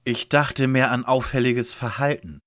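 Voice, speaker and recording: A man's voice: medium pitch, a little slow, a bit bright, close to an everyday voice. A man playing a sober, formal young lead detective in a parody radio play.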